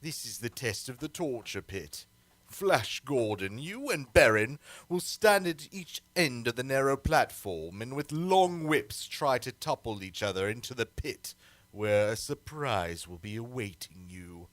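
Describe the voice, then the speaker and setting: A man's voice: medium pitch, lively intonation, unhurried, fairly bright tone, no echo. A man playing a cruel, imperious alien emperor in an amateur radio play, with a commanding, menacing, theatrical manner. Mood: gloating command.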